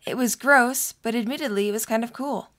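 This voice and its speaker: A woman's voice: on the high side, fairly quick, a bit bright. A young adult woman reading scripted story narration for an audio drama.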